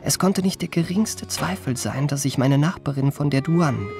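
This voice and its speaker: An actor playing an urbane memoirist, narrating a literary radio play in an elegant, ornate, measured way. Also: male voice, a little high, a little mellow, soft background music, close to an everyday voice. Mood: urbane, reflective. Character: urbane male memoirist recounting his own trickery.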